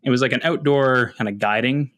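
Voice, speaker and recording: A Canadian man with a middling-pitched voice talks in a casual, animated way. He tells stories like a relaxed guest, with a self-deprecating streak and plenty of "like" sprinkled through. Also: brisk. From London, Ontario, Canada. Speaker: podcast guest, wildlife photographer and content creator. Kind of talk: casual personal storytelling.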